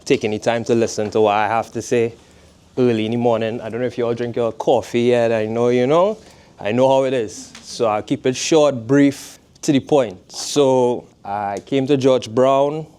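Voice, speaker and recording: A man's voice: medium pitch, normal speed, warm tone, smooth. A man in his twenties from Trinidad, with a Trinidadian accent, giving a laid-back, conversational guest talk to a college class. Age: 20s. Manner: laid-back, conversational, asks "you know what I mean". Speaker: guest speaker, footwear designer.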